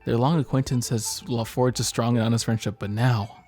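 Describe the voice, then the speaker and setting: Male let's player, mid-pitched, jokey, reads story text aloud with stumbles, faint game music behind. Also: steady tone, a little fast, a little mellow. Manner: jokey, giggly, stumbles over read-out text. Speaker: streamer narrating a game. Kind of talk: casual let's play, reading visual novel text aloud.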